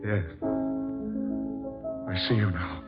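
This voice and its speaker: An actor playing a wealthy, haunted murderer with formal, dramatic diction in a 1940s radio horror melodrama. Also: male voice, low-pitched, steady tone, takes it slowly. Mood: haunted, fearful. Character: wealthy haunted male murderer, suave but anxious. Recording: muffled vintage radio recording, music behind.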